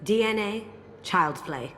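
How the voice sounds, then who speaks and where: An actress playing a sly, self-assured master thief in a video game, breezy and wry. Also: female voice, medium pitch, expressive, takes it slowly, a bit bright. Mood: confident, businesslike. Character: sly, confident master thief woman, playful and cool. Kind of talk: video game character acting. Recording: music behind the dialogue. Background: game soundtrack music.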